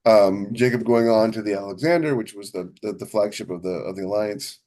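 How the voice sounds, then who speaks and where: A man's voice: fairly low pitch, steady tone, a little fast, mellow. A man co-hosting a writing podcast as a novelist, chatting casually and emphatically, often rephrasing himself as he goes. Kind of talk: casual chat about writing craft.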